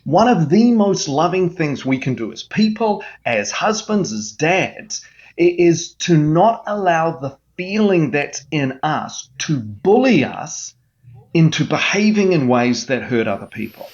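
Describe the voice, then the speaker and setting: A man's voice: a little high, very animated, a little slow, lo-fi sound. A man speaking as a mental health guest in an interview, explaining with an earnest coaching manner and frequent restarts.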